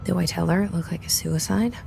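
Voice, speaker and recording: A woman's voice: fairly low pitch, quick pace, creaky, noisy background. A woman playing a wary, dryly candid young heroine, confiding her inner thoughts in a video game. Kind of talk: inner-monologue voice-over acting.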